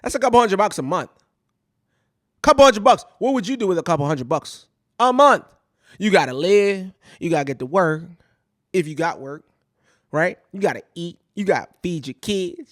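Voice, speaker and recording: A woman's voice: on the low side, dry recording, studio quality. A woman from Kenya hosting a talk show, giving casual, emphatic opinion commentary and repeating phrases to drive points home. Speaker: show host.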